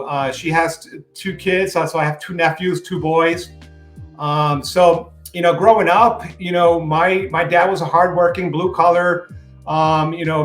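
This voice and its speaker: A man's voice: a little high, a bit bright. A man in his forties from Puerto Rico, with Spanish as his first language, talking casually as a podcast guest over a video call from a room at home, full of "you know" fillers. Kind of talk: casual interview talk. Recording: roomy video call audio.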